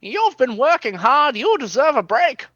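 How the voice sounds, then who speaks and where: A woman's voice: sits high, very animated, measured pace, a bit bright, no echo, dull audio, full character voice. A woman playing a chummy, nervous, wheedling male detective in a comic radio play, flustered and overly agreeable.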